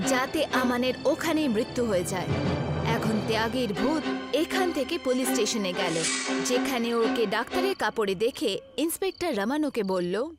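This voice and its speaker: A woman narrating a scripted horror story in a dramatic storytelling manner, with loud music behind her. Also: sits high. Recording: loud music bed over the voice.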